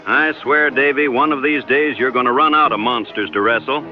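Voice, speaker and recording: A man's voice: medium pitch, normal speed, highs cut off, soft background music, close to an everyday voice. An actor playing a wry, boastful American woodsman sidekick with colorful folksy exaggeration in a western audio drama. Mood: boisterous.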